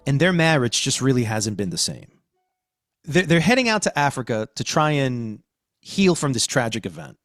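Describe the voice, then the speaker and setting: A man hosting a podcast, discussing and reviewing in a conversational, emphatic way with deliberate phrasing. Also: medium pitch, big pitch swings, fairly bright tone, no room sound, studio quality, faint music behind. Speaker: podcast host.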